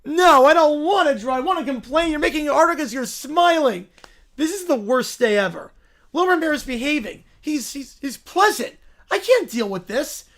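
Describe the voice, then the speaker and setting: A man's voice: very high voice, expressive, fairly bright tone, pitched above the performer's own voice, full character voice. A man voicing a childish, bossy sports fan who whines petulantly and wants everyone to be miserable. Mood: bossy, exasperated. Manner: whining, petulant, drawn-out complaints.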